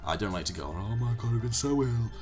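A young man, hoarse from being ill, giving rambling, casual commentary on a game he is playing. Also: mid-range voice, lively intonation, fast, a little mellow, soft background music. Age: young adult. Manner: rambling, self-correcting explanations. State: hoarse from illness. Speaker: let's play commentator.

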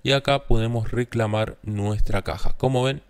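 A man's voice: mid-range voice, steady tone, normal speed, clean tone. A man narrating a step-by-step screen tutorial as its host, often checking in with a short questioning tag.

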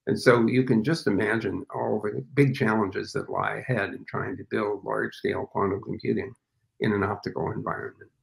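A man's voice: lowish voice, steady tone, brisk, vocal fry, poor audio. An older man speaking as an interview guest over a video call from a home office, explaining thoughtfully with frequent fillers. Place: home office with framed pictures, indoors. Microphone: video call webcam.

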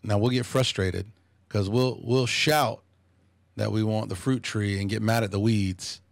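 A man in his fifties talking reflectively as a podcast guest, thoughtful and measured, with many restarts. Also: on the low side, steady tone, gravelly, no echo. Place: small podcast studio. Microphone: studio microphone on a boom arm.

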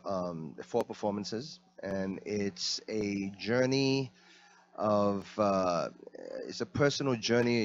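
A man from the Bronx, an actor guest talking casually and hesitantly, with many ums, into a handheld mic. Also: low voice. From the Bronx, New York. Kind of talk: casual interview talk. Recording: dull lo-fi sound.

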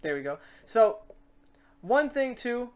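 A man hosting a casual software tutorial, informal and rambling, thinking aloud as he works. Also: very high-pitched, very animated, slow pace, smooth. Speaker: tutorial host. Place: indoors at a computer.

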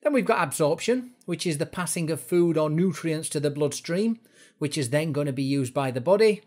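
A man's voice: fairly high pitch, lively intonation, moderate pace, darkish tone. A man narrating an educational explainer as an instructor, going through it step by step in informal words. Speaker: fitness instructor presenting a course.

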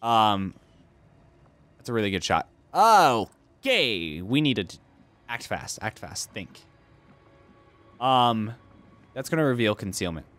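A man's voice: fairly low pitch, very animated, slow, pauses often, fairly bright tone. A young man commenting casually on a strategy game he is playing, joking around and arguing with himself. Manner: goofy, argues with himself in silly voices. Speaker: let's play host.

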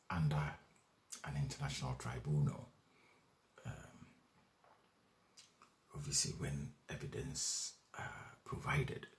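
Very deep-voiced man explaining law. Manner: measured, with frequent fillers and restarts. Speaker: legal educator. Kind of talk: explaining and reading out a legal text. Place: small room at home. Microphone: webcam at desk distance.

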